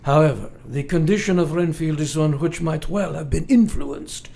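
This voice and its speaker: A creaky-voiced, solemn vampire hunter. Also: male voice, fairly high pitch, moderate pace, dark tone. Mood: grave, resolute. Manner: formal old-fashioned phrasing with foreign-sounding grammar. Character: grave older male vampire-hunting scholar, protective and solemn. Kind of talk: radio play acting.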